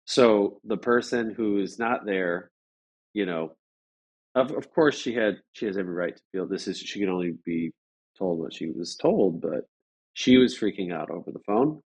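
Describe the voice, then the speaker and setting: A man speaking as an interview guest, telling a story casually in a halting, rambling way with repeated phrases. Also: sits low, a little mellow, slightly rough.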